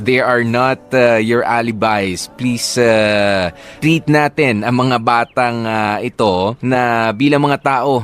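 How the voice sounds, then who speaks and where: A Filipino man working as a radio DJ, talking conversationally and mixing in English phrases as he reads listener letters and gives advice. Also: mid-range voice. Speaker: radio DJ hosting a love-story advice show. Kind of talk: reading listener letters and giving casual advice.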